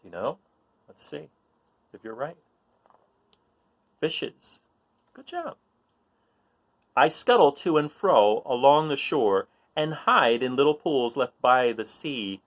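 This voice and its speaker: Man, mid-pitched, highly animated read-aloud storyteller for kids; informal, questioning, encouraging. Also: slow, long pauses, highs cut off. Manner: informal, question-asking, encouraging, with expressive delivery. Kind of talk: reading a children's riddle picture book aloud, chatting to young listeners.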